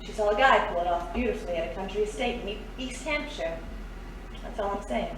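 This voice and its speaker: A woman's voice: medium pitch, fast, lightly acted. A woman playing a sly, confident thief in an audio drama, with a coy, knowing manner. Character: sly, confident female thief, fond of old partner. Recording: very echoey, distant mic, noisy.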